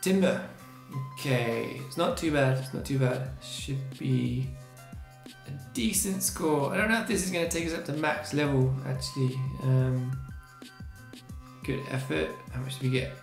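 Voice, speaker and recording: A man's voice: mid-range voice, measured pace, vocal fry, roomy sound, faint music behind. A man in his thirties with a strained, sore-throated voice, chatting casually as a gaming channel host while reacting to each result, recorded on a webcam mic at his desk in a small room. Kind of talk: casual let's-play commentary.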